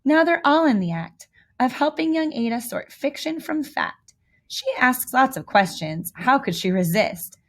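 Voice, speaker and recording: A woman's voice: high voice, very animated, a little slow. A woman reading a children's picture book aloud with theatrical emphasis and little asides to young listeners. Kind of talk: expressive read-aloud storytelling.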